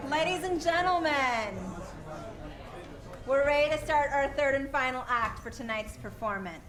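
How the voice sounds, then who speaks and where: A woman playing a brisk, formal radio show director, making announcements at a live performance. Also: high-pitched, a crowd in the background, lightly acted. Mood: formal announcing.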